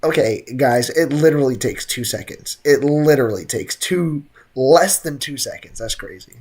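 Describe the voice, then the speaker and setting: A man's voice: mid-range voice, expressive, normal speed, darkish tone. Slangy young man streaming a game. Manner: slangy, joking, sarcastic, repeats phrases. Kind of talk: casual live gameplay commentary. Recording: game music behind. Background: video game music.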